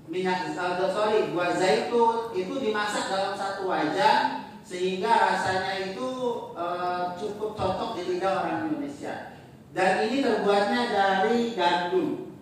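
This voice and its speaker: Lecturer, chatty and joking, quizzes the audience, slips into Arabic phrases, distant mic in a booming room with faint noise. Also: heavy reverb. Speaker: lecturer presenting slides. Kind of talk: casual classroom talk with slides.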